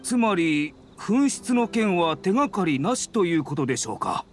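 A man's voice: high voice, sing-song, moderate pace. A man playing a polite, anxious editor in an anime game, deferential and formal. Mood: polite concern. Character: polite, anxious male publishing-house editor. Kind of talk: Japanese anime game voice acting. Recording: background music behind voice.

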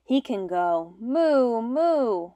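A young woman teaching and reading aloud to small children in simple, deliberate phrases. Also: fairly high pitch, sing-song, mellow, lo-fi sound. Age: young adult. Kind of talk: children's read-aloud lesson.

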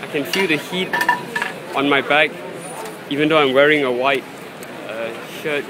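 A man giving halting, unscripted commentary as he films outdoors on a handheld device in a busy city street. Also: fairly high pitch. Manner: halting, searching for words. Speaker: amateur video maker filming a street scene. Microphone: handheld phone or camera, held by the speaker. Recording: noisy busy city street, traffic and crowd.

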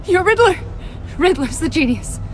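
A woman's voice: very high-pitched, lively intonation, normal speed, faint music behind. A woman playing an anxious but brave captive government agent in a video game, urgent and pleading. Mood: tense resolve. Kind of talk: video game drama acting.

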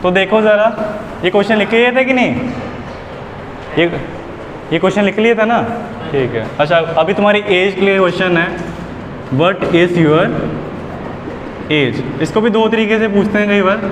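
A young man explains an English lesson to students, switching between Hindi and English and repeating examples patiently. His voice sits a little high and reaches a distant camera through an echoey classroom. Steady room noise sits under him. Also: normal speed, long pauses. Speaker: English teacher. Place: classroom with whiteboard. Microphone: far camera.

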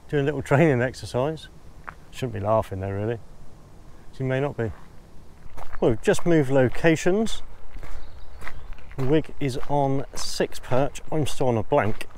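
A man's voice: medium pitch, moderate pace, pauses often, a little mellow. Male vlogger, cheerful banter, faint outdoor noise behind him. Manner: cheerful, banters with his mate. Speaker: angler. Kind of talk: casual fishing vlog chat. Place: canal bank outdoors.

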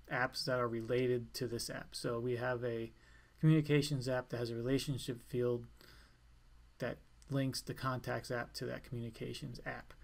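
A man giving an informal step-by-step software tutorial in a plain, instructional way. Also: mid-range voice, creaky. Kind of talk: step-by-step screencast walkthrough, informal.

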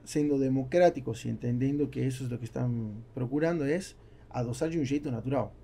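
A man talks as a podcast guest. His mid-pitched voice has a lot of vocal fry. He explains things with anecdotes on a close studio mic, with faint noise behind. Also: fast talker, mellow. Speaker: podcast guest, business owner. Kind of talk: conversational interview, explaining with anecdotes. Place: small foam-treated podcast studio. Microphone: boom-arm studio microphone, close.

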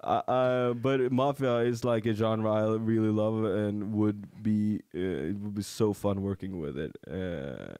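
A man's voice: fairly low pitch, steady tone, measured pace, hardly any pauses, darkish tone, clear, resonant tone, dry recording, clean studio sound. A young man from rural Norway, a native Norwegian speaker, talking in a casual podcast chat with many fillers and restarts. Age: young adult. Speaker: podcast guest, comedian. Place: small studio room. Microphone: studio mic on boom arm, headphones.

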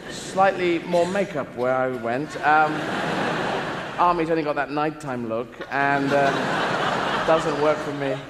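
A man's voice: fairly high pitch, takes it slowly, very noisy. A man in his thirties doing a rambling, playful stand-up routine on a large theatre stage. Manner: rambling, playful, acts out voices. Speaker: stand-up comedian. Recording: echoey live theatre recording. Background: audience laughter.

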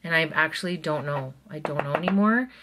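A woman in her thirties chatting excitedly to camera while doing her makeup in a small bedroom, drifting into soft asides. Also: sits low, fairly quick, noisy background. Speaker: vlogger. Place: small bedroom at home, indoors. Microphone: camera close in front of her.

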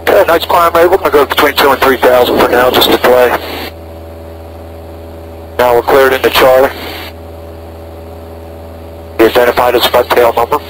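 A man pilots a small plane and speaks over a headset in short procedural phrases. His pitch is middling. He switches between radio calls and briefing himself, with a faint engine drone on the intercom. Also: fairly even intonation, normal speed, pauses often, noisy background. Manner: talks himself through checks in short phrases. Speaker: private pilot. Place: inside a small propeller plane in flight. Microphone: aviation headset.

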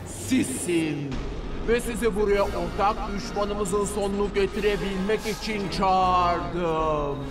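A man's voice: high-pitched, takes it slowly, over music. A man voicing a grandiose living fog cloud, an imperious and theatrical cartoon crime lord. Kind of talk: cartoon villain dubbing.

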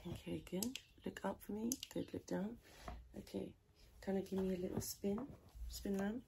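A woman softly improvising an ASMR personal attention role play as a tailor, chatting in a rambling, chaotic way close to the microphone. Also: mid-range voice, steady tone, normal speed, creaky, noisy background. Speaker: ASMR creator playing a tailor.

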